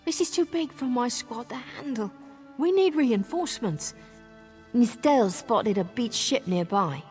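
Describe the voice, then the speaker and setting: An actress playing a brisk, troubled female marine squad leader in a fantasy video game. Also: fairly high pitch, lively intonation, a little mellow, faint music behind. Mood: alarmed, indignant. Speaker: military officer. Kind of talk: scripted video game dialogue.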